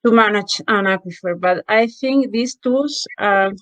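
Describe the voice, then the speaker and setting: A woman moderating a webinar, reading out audience questions in a halting way with fillers. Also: mid-range voice, dry recording. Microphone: video call.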